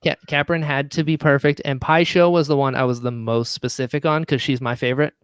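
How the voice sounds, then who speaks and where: A chatty, enthusiastic man talks casually as a guest. His voice sits a little high and is smooth and clear. The sound is dry and close, and he tends to chuckle between thoughts. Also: steady tone, brisk, dry recording. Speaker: guest, audio drama writer and actor. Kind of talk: casual interview chat.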